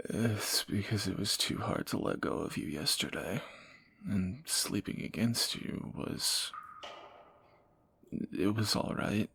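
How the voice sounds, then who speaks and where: Man playing a groggy, gravelly-voiced fantasy rogue. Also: lowish voice, bright, forceful tone, full character voice. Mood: groggy, wry. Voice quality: gravelly, husky morning voice. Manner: sleepy, halting, wry understatement. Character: groggy male fantasy assassin, softening captor, flustered and teasing. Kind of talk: intimate romantic audio roleplay.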